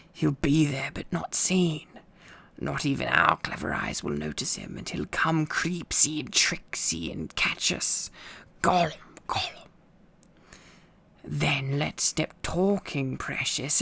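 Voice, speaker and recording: A man voicing a wretched, obsessive cave creature in a storybook reading, muttering repetitively to itself in broken grammar with a hissing, raspy whisper. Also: fairly high pitch, fairly bright tone, full character voice. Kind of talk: acted audiobook reading.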